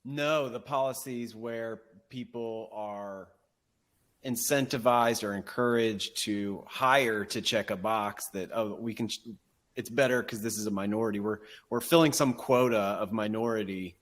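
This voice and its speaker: A man's voice: on the low side, a little slow, a bit bright. A man in a casual interview conversation, asking questions and commenting as a podcast host.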